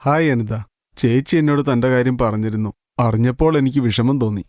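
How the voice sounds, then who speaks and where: A man doing a gentle young suitor. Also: mid-range voice, brisk, no echo, studio quality, close to an everyday voice. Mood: earnest. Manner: gentle, earnest.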